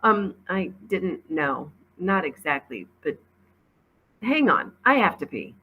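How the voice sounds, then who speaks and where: Woman reading as a wary thoughtful girl, lively intonation. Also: fairly low pitch, takes it slowly, husky, heavily acted, rough recording. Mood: awkward. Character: thoughtful, wary, slightly awkward girl leader.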